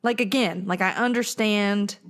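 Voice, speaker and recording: A woman's voice: mid-range voice, normal speed, a bit bright. An American woman co-hosting a podcast, chatting casually in an informal, slangy way. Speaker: podcast co-host. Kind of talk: casual pop culture chat.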